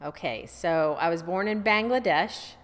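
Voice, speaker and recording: A middle-aged woman speaks low and unhurried as an interview guest, recounting her family's past. Her easygoing telling wanders through fillers and restarts. The room leaves a long reverb on her voice. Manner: chatty, easygoing storyteller with fillers. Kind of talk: casual life-story interview.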